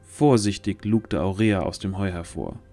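A man narrates a fantasy audio drama in a fairly low voice. The delivery is scripted storytelling, with quiet music underneath. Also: a little slow. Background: faint music.

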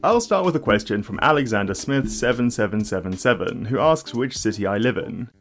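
South African-Australian young man, calm explainer. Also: medium pitch, soft background music. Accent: South African mixed with Australian. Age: young adult. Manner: clear, measured delivery with casual fillers in Q&A. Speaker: YouTube host. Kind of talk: scripted explainer narration, then casual Q&A.

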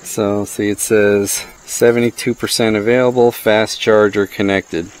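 A man's voice: on the low side, little pitch movement, a little slow. A middle-aged man casually reviewing gear outdoors in a driveway, thinking aloud and cracking dry jokes, recorded on a body-worn action camera. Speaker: product reviewer. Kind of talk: casual hands-on product review.

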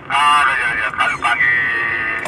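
A man's voice: mid-range voice, flat delivery, slow. A man with a Malaysian accent chatting casually over two-way radio as an amateur radio operator. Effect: two-way radio transmission. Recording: distant radio audio, loud vehicle noise.

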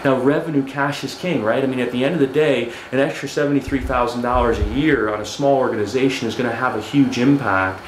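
A man's voice: medium pitch, fast, few pauses, a little hiss or hum. A man in his forties giving a training lecture at a flip chart in a conversational way, often repeating words and asking "right?". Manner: stands and writes on a flip chart while talking. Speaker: HVAC business trainer. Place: studio with a dark backdrop, indoors. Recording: echoey room, faint background ambience.

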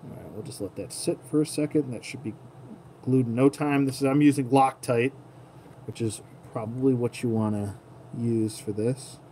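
Male hobby host, medium pitch, casual tutorial delivery, muttering along as he works, noticeable room noise. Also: normal speed, dark tone. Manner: murmurs to himself while working with his hands. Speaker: toy collector host. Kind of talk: casual hands-on tutorial commentary. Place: indoor tabletop setup. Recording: clearly noisy room ambience.

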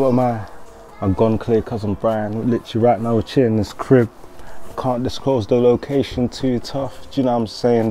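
A man's voice: on the low side, slight background noise. A young man with a London accent, talking casually in slang and swearing, recorded outdoors with a clip-on mic. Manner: slangy, swearing, chatty, shout-outs. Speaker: vlogger. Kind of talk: casual walk-and-talk vlog. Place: outdoors on streets by a school, then a garden patio.

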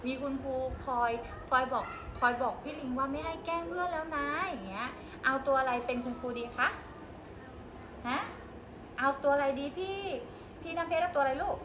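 High-voiced coaxing preschool teacher, woman. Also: moderate pace, roomy sound, background noise. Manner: patient, coaxing questions to small children. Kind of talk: guiding young children to make up a story. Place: classroom.

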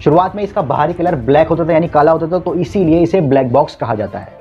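A man's voice: on the high side, lively intonation, quick pace. A man in his twenties presenting an educational explainer, making each point emphatically and with repetition. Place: indoors, small room with a plain wall. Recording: dull lo-fi sound with music behind. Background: background music.

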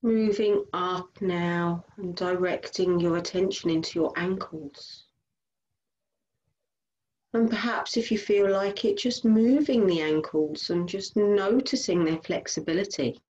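A woman with a slightly low voice guides a body scan meditation. She gives gentle instructions in short, spaced phrases, like a yoga teacher settling a class. The recording is a little dull and lo-fi. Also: a little slow.

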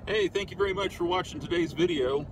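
A middle-aged man hosting a fishing vlog, talking relaxed from inside a truck, halting with fillers. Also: sits high, background noise. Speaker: fishing video host. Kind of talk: casual outdoor vlog talk.

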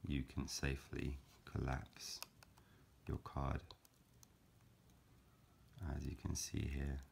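A man calmly explaining a paper craft step by step, in a gentle, measured way with frequent fillers. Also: extremely low pitch, creaky, slight background noise. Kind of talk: calm step-by-step craft tutorial.

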